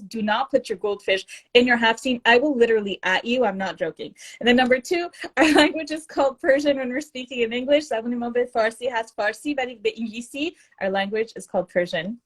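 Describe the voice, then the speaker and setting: A woman co-hosting a casual live show, earnestly reading out names and hashtags for activism. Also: high voice. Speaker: co-host sharing activism updates. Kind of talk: casual livestream talk.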